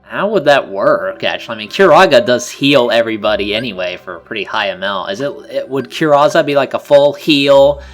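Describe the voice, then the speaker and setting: A man offers casual co-commentary on a video game playthrough. His voice sits at an average male pitch and his delivery is conversational, with game music in the background. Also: fairly quick, few pauses. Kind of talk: casual chat.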